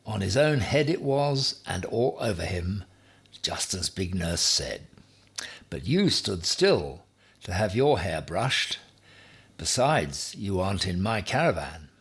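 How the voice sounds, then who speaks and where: A man's voice: deep voice, big pitch swings, slow, a bit bright, breathy edge, full character voice. A man voicing a bossy, boastful would-be emperor in a children's story, with a pompous, emphatic, commanding manner. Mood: brisk, reassuring. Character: Ham, bossy, boastful man, self-styled future emperor.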